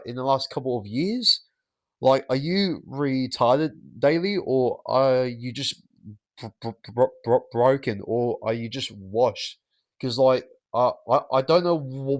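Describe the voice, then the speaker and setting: A man hosting a sports podcast, croaky from illness, ranting in a halting way with many fillers and stutters. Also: medium pitch, dry recording. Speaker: podcast host. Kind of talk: solo sports review rant.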